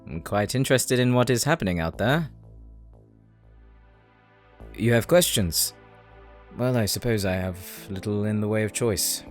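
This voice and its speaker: A man's voice: fairly low pitch, animated, measured pace, long pauses. A man acting in an audio roleplay as a shrewd, devout prisoner who speaks in a formal, probing manner. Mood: wry, wary. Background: music.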